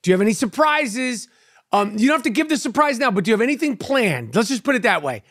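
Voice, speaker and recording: A man's voice: very high-pitched, very animated, quick pace, fairly bright tone, no room sound, studio quality. A brash, profane man in his fifties with a New York accent, hosting a casual podcast and making mock demands. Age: 50s. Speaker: podcast host.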